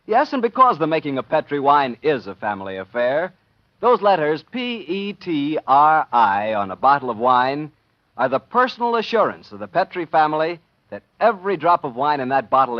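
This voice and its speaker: High-voiced, animated American radio announcer man. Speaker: announcer and sponsor pitchman. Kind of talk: 1940s radio announcing, scripted. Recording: dull vintage radio recording with music.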